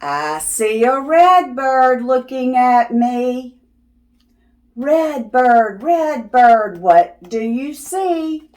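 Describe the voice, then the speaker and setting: A woman's voice: sits high, expressive, extremely slow, a little mellow. A woman reading a picture book aloud to young children in a sing-song, teacherly way, as the host of children's videos. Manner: teacherly, asking questions and affirming answers.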